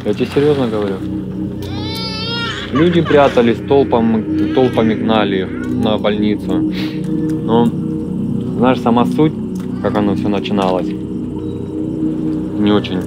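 A man's voice: fairly low pitch, slow, long pauses, over loud music. A young man talking bluntly and insistently in casual slang during a conversation at night.

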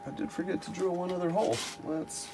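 A man's voice: high-pitched, flat delivery, fairly quick. A man casually narrating his hands-on DIY work in a workshop, thinking aloud as he goes. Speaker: maker narrating a DIY build. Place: indoor cluttered home workshop. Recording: noisy workshop camera recording.

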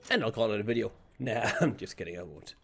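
A man in his thirties with a slightly raspy voice, talking on a headset mic as he plays a horror game and teases the characters. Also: on the low side, lively intonation, fast, slight background noise. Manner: joking, teasing game characters. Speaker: gameplay streamer. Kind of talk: casual let's-play commentary.

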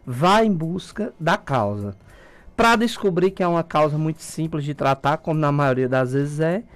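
A man's voice: on the high side, normal speed. A middle-aged man with a Brazilian accent, an ENT doctor explaining things step by step as a guest in a radio studio, speaking through a face mask. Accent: Brazilian Portuguese. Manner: didactic, calm explaining. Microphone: studio mic, wearing a face mask.

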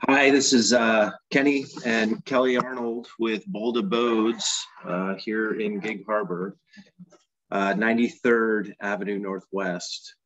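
A man's voice: fairly low pitch, steady tone, slow, fairly bright tone. A man who runs short-term rentals, making a plain public comment full of fillers on a call. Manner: plain, filler-heavy, clears throat. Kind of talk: public comment, spoken loosely. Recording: dry, dull call audio.

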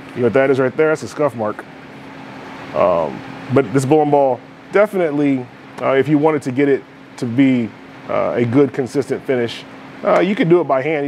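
A man's voice: mid-range voice, a little mellow, a little hiss or hum, low-quality recording. A man giving casual, explanatory commentary on a bowling ball test in a bowling alley, often checking in with "right?". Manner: explanatory, many fillers and "right?" asides. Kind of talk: casual product demo commentary.

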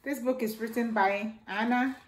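A middle-aged woman reading a children's picture book aloud, slow and expressive, with her voice leaping up for exclamations. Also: a little hiss or hum. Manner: slow, expressive read-aloud, jumping high for exclamations and a toy's voice. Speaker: children's storytime reader. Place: living room by a staircase, indoors. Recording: echoey room.

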